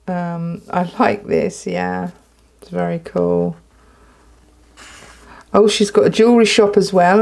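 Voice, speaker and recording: An English woman chats through an unboxing at her table, excitable and rambling, with plenty of ums and self-corrections. Her voice carries a gravelly, fry-tinged edge and sits at a middling pitch. Her throat is a little dry as she talks. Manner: chatty and excitable, with many ums and restarts. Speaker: planner vlogger. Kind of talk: casual unboxing chat. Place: indoors at a tabletop.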